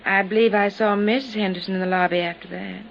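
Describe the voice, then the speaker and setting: An actress playing a timid hotel chambermaid giving testimony in an old radio drama. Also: female voice, medium pitch, steady tone, quick pace, gravelly, natural voice. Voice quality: timid, short answers. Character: nervous hotel chambermaid witness. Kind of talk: 1950s radio drama acting. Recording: dull old radio recording, faint hiss.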